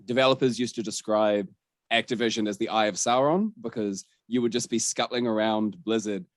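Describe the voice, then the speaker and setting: A man's voice: lowish voice, brisk, dry recording. A man hosting a gaming podcast, talking through the news in a candid, sweary, conversational way. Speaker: podcast host.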